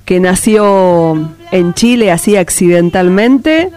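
A woman's voice: fairly low pitch, lively intonation, slow, dark tone, soft background music. A woman hosting a live radio interview, speaking in effusive, lyrical and welcoming phrases. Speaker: radio host.

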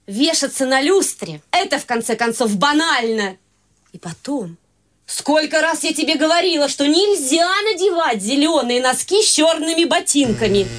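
A woman's voice: sits high, fairly bright tone. An actress playing a fussy, bossy wealthy widowed mother in a radio play. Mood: flustered.

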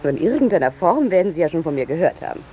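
Brash, animated woman war correspondent. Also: low-pitched, muffled recording. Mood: self-important. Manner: brisk, insistent, self-important. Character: brash, sensation-hungry female war correspondent, pushy and self-important. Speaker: actor in a radio play. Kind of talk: 1950s German radio play acting. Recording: noisy 1950s radio drama recording.

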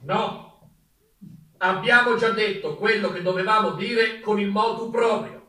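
A man's voice: sits high, unhurried, sharp tone. A man playing a peevish, stubborn Pope in a stage play, imperious and dismissive in manner. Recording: roomy stage, mic at a distance.

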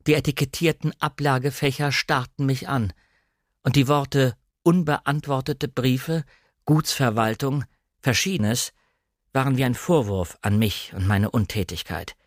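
A man's voice: medium pitch, a little slow, no room sound. Man, audiobook narrator, mid-range voice, scripted literary storytelling, dry recording.

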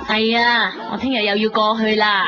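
A woman's voice: mid-range voice, flat delivery, normal speed, music in the background, natural voice. An actress playing a busy, sociable career woman with a Hong Kong Cantonese accent, effusive and mixing in English words. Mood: breezy. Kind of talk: radio drama dialogue. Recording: dull lo-fi radio with slight noise.

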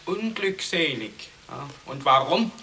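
An actor playing a courteous, hesitant but proud professor and inventor in an absurdist radio comedy, speaking formally. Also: male voice, fairly high pitch, expressive, a little slow, vocal fry, bright, forceful tone, a little hiss or hum, heavily acted. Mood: polite, encouraging. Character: modest, proud male professor and inventor at a learned academy. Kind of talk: 1980s German absurdist radio comedy acting.

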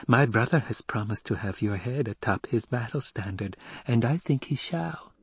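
A man voicing a defiant, coolly malicious little girl in an audiobook, calm and precise in manner. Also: medium pitch, moderate pace, husky, no room sound, voice pushed up. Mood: cool defiance. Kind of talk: dramatic audiobook character voice.

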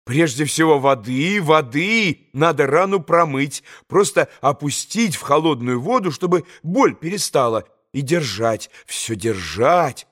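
A man's voice: fairly high pitch, expressive, unhurried, fairly bright tone. A man reading an audiobook and voicing an imperious, nervous young girl who gives commanding, impatient orders. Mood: commanding, frantic. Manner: commanding, urgent, impatient outbursts.

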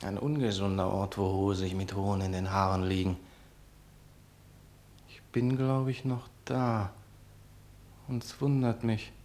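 An actor playing a sly, servile guard who declaims in halting phrases in a verse tragedy radio play. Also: male voice, fairly low pitch, steady tone, a little mellow, roomy sound, lightly acted. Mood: wry, wary. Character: wary lowly male guard reporting to his ruler.

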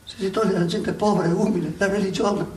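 A man playing a scheming, sanctimonious rival holy man in stage comedy, excitable, repetitive and stammering. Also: sits high, quick pace, recorded from a distance, slight background noise, natural voice. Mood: fawning, pleading. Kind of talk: comic stage dialogue. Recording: echoey stage, off the microphone.

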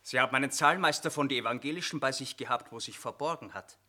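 An actor playing a gruff army clerk, a curt bureaucratic bully giving warnings in stage drama style. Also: male voice, medium pitch, quick pace, bright, forceful tone. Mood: menacing.

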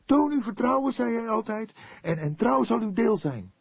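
Man voicing an emotional young woman, pitched high. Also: lively intonation, normal speed, vocal fry, husky, no room sound, full character voice. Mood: fierce conviction. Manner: emphatic, emotional delivery. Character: tearful, fierce young woman, devoted and pleading.

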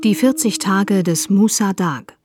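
A woman's voice: mid-range voice, unhurried. A woman announcing a radio drama in a scripted style. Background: loud music.

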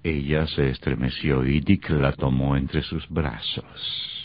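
Very deep, solemn horror narrator. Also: male voice, level tone, a little hiss or hum. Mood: ominous. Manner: slow, solemn, ominous. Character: grave, sinister horror storyteller. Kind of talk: old radio horror narration. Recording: dull old radio recording. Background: music.

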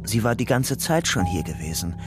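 A man's voice: fairly low pitch, very animated, normal speed, over music. An actor playing a young fugitive who narrates his grim story soberly and tensely in short sentences for a radio crime drama.

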